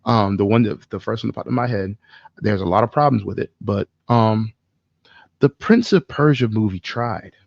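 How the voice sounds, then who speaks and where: A man's voice: on the low side, moderate pace, creaky, darkish tone, dry recording, lo-fi sound. A man co-hosting a podcast, chatting casually in a blunt, opinionated way. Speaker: podcast co-host. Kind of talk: casual chat.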